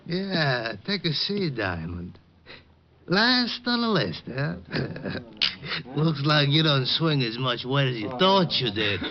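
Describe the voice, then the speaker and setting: An actor playing a sarcastic, goading rival private detective in a 1940s radio crime drama. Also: male voice, mid-range voice, sing-song, takes it slowly. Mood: sarcastic needling. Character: sneering, needling rival male private detective. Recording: muffled old radio recording, crowd behind.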